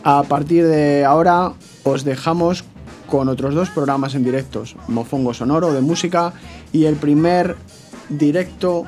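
A man's voice: a little high, steady tone, measured pace, a little mellow, soft background music. A man presenting a live radio news bulletin.

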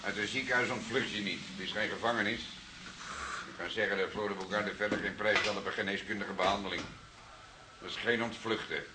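A man playing an unhurried, wry, stubborn veteran police detective in a radio drama, speaking in a deliberate, dry, fatherly way. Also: on the low side, fairly even intonation, natural voice. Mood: calm, wry. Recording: noisy archive radio drama recording.

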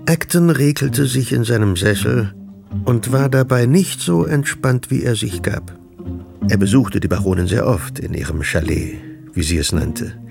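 A male narrator delivers literary narration for a radio drama in a mid-pitched, markedly creaky voice. Music plays clearly behind his scripted storytelling. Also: animated, unhurried.

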